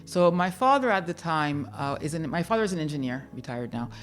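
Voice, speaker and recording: A woman in her fifties tells her own story in a low, unhurried voice. She sounds reflective and candid as she talks, with soft music barely there underneath. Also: animated. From born in New York, raised in Haiti. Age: 50s. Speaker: guest telling her migration story. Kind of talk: personal storytelling.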